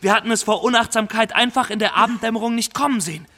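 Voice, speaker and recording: An actor in a radio play playing an earnest, eager storyteller who insistently addresses the listener. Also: male voice, sits high, quick pace, sharp tone. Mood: earnest, urgent. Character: earnest, eager male storyteller recounting his misfortune.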